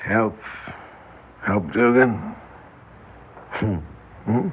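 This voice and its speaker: An actor playing an evasive, slangy down-and-out drunk with slurred speech in a 1960s radio drama. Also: male voice, fairly low pitch, drawn-out words, breathy edge, exaggerated voice. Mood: bitter, boozy. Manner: slurred, rambling, slangy tipsy talk. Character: drunken, evasive down-and-out barfly man in hiding. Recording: dull, noisy 1960s radio recording.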